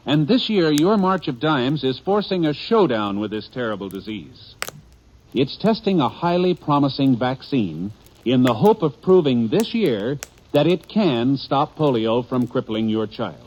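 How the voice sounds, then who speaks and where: Male radio announcer, 1950s, formal and emphatic, lively intonation, dull old recording. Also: medium pitch, slight background noise.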